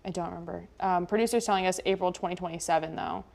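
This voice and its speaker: Casting: young American female host, slightly low-pitched, informal and chatty, unscripted feel. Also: steady tone, fast talker, gravelly, a little mellow. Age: young adult. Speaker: local TV station chat host. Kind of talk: casual unscripted chat.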